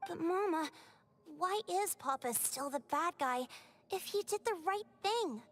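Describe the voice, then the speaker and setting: A woman's voice: very high voice, animated, unhurried, fairly bright tone, full character voice. A woman voicing an innocent little girl in a video game, childlike and plaintive in her simple way of talking. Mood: confused, plaintive. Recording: faint noise from game livestream.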